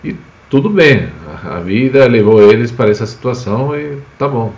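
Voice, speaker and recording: A man's voice: medium pitch, expressive, moderate pace, darkish tone, echoey room, muffled recording. A man from Spain, a native Spanish speaker with a Spanish accent, explains words with examples in an informal live language lesson as the teacher. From Spain, Basque Country.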